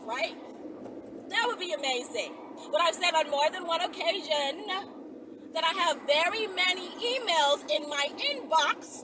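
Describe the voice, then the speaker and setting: A woman talking casually and bluntly to her viewers, with a sassy manner, as a content creator. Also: high voice. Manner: sassy, blunt, heavy swearing. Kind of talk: casual rant to camera, addressing viewers directly. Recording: distant mic with clear background noise.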